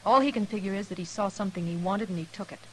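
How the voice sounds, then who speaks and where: Young western heroine played by a woman, medium pitch, earnest and pleading, on vintage radio with light hiss. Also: rapid-fire, natural voice, poor audio. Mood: earnest, insistent. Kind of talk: old-time radio western drama acting. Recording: hissy vintage radio recording.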